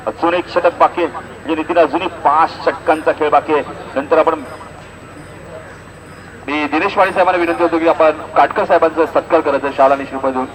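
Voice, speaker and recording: A man's voice: fairly high pitch, slight background noise, poor audio. A man with a Maharashtrian accent doing live cricket commentary and announcements. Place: outdoor cricket ground. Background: crowd.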